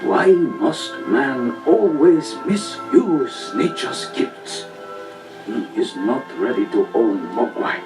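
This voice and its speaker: Solemn, slow-spoken ancient sage. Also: male voice, medium pitch, expressive. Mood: solemn, gentle. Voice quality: slow, measured. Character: solemn ancient Chinese man, wise and stern. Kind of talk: children's read-along story record acting. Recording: distant vinyl record over music.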